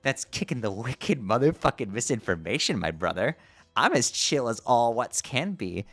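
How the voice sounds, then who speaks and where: A man's voice: medium pitch, very animated, normal speed, a bit bright, soft background music. A man voicing a spaced-out stoner clown troll boy who drawls and swears. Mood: dopey calm. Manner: lazy drawl, swears in every phrase.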